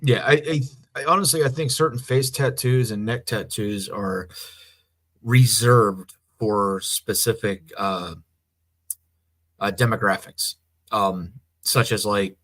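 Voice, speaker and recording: An American man speaks in a fairly low voice as a podcast co-host. He chats casually and explains things in an informal, slightly hedging way. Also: steady tone, slow pace, fairly bright tone. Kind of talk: casual conversational podcast chat.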